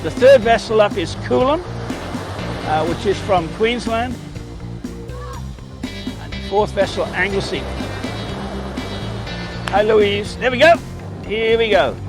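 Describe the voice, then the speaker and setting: A man from Wales with a Welsh accent, chatting excitedly to his livestream viewers while commenting on a race at a surf beach. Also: high-pitched, slow, long pauses, music in the background. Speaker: livestream host. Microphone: phone mic held in hand.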